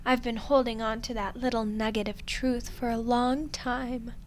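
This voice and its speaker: A woman playing an ancient female demon in a roleplay ASMR drama, smug, condescending and coolly menacing, speaking deliberately with dramatic pauses. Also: a little high, little pitch movement, slight background noise, natural voice. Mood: musing, revealing.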